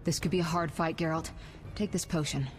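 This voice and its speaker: Female actor as assured fantasy game sorceress, low pitch, instructive, commanding, faint music underneath. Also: steady tone, fairly quick, natural voice. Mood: composed, urgent. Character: confident, knowledgeable female sorceress in battle.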